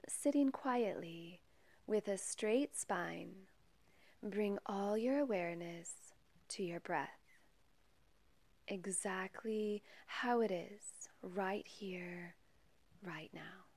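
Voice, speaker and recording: Slow, soft-spoken woman guiding meditation. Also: mid-range voice, no room sound. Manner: calm, measured, gentle, with long pauses. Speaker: meditation guide and podcast host. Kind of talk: guided meditation.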